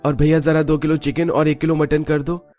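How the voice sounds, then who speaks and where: A man's voice: a little high, little pitch movement, moderate pace, a little mellow, faint music behind, voice pushed up, lightly acted. A man voicing an eager, boyish young brother-in-law in a cartoon, with a casual manner. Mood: helpful.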